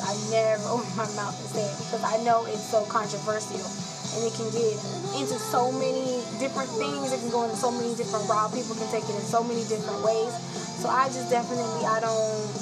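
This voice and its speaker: A woman's voice: a little high, rough recording. A woman born in Haiti and raised in South Florida, a nurse, venting bluntly and frankly in a rambling casual vlog.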